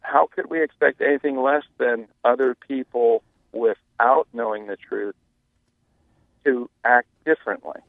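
A man phones in to a radio show and makes an earnest, preachy case in long questions. His mid-pitched voice comes through a thin, narrow phone line with no room around it. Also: a little slow, creaky, no echo. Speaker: caller. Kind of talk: caller on a radio talk show.

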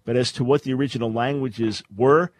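A man's voice: mid-range voice, steady tone, fairly quick, no room sound. A man hosting a call-in radio show, explaining Bible languages in a scholarly way with frequent restarts. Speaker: radio talk show host.

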